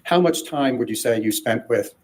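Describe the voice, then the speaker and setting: A man's voice: mid-range voice, expressive, normal speed, a little mellow. A man working as an attorney, questioning a witness in court in a formal, direct way.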